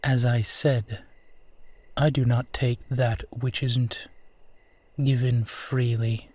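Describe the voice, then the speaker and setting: Man playing a courtly, unhurried ancient vampire gentleman. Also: mid-range voice, level tone. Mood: smooth, amused. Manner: formal, old-fashioned, gently teasing, unhurried. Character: suave, courtly centuries-old male vampire who looks twenty-eight. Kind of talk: roleplay audio drama addressed to the listener.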